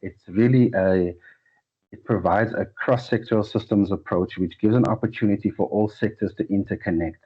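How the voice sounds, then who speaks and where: A man opens an online session with measured remarks. His voice is very deep and husky. The call audio sounds dull and lo-fi. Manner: measured, reads out facts. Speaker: session moderator. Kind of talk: webinar introduction. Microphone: video call.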